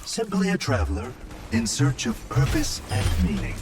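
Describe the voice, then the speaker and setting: An actor playing a calm, measured monk traveling in search of meaning in a game. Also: male voice, on the high side. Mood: serene. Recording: game audio with loud background noise.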